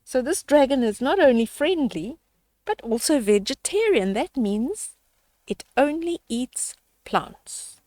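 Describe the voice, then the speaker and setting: A woman's voice: high voice, sing-song, slow pace, a little mellow, dry recording. An older woman reading a children's story aloud, expressively, with explanatory asides and questions to young listeners.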